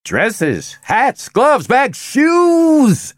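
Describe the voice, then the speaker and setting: A brash, high-pitched sales executive, pushy and loud, whose ranting keeps climbing toward a shout, as a male audiobook narrator puts him on. The recording is dry and close, with no room sound. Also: big pitch swings, moderate pace, no echo. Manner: forceful, ranting, voice rising to shouts.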